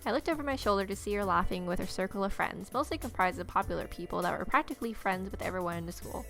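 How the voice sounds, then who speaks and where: A woman's voice: mid-range voice, lively intonation, fast, vocal fry, fairly bright tone. A young woman reading visual novel narration aloud, dropping in casual asides as she plays. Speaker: game player reading aloud. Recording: music playing behind.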